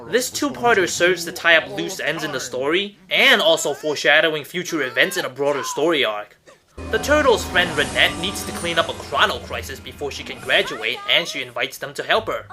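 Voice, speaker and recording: A man narrating a scripted countdown review as host, with emphatic delivery and wry asides, over music. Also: fairly high pitch. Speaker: host of an online cartoon countdown show. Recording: music under the voice. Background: background music.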